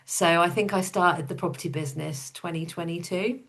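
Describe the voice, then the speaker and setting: A woman with a slightly low voice, chatting as an interview guest. Her storytelling is casual and informal, and she puts things plainly and matter-of-factly. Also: steady tone, moderate pace, fairly bright tone. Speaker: podcast guest, entrepreneur.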